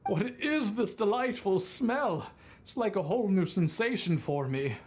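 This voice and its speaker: An actor playing a good-natured old male tavern drinker in an anime game, polite and theatrically flattering. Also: high-pitched, measured pace. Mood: enraptured. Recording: game music behind the voice.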